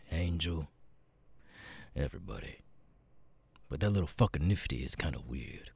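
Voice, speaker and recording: A man voicing a gruff, jaded cat demon bartender in an ASMR roleplay, muttering and swearing wearily with hesitant pauses in a deep, gravelly rasp. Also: no echo, exaggerated voice. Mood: grumbling.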